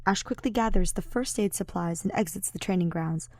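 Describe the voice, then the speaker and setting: A woman's voice: mid-range voice, brisk, darkish tone, no room sound. Woman narrating fiction in a dry space. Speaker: audiobook narrator.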